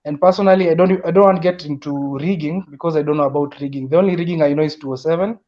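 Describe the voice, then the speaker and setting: A man's voice: on the high side, rapid-fire. Earnest Kenyan man on lo-fi audio. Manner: earnest, repeats and restarts while building an argument. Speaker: caller in a discussion. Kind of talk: casual opinion chat. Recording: dull lo-fi audio.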